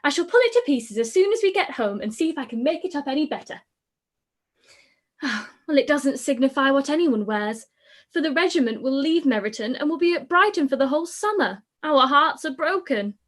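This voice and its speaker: A giddy, careless teenage girl, played by a woman in a radio play. She chatters in a high voice that swoops about. Her delivery is lively and sing-song. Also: brisk. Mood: gossiping. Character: giddy, silly youngest sister, teenage girl. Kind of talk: live-read radio play.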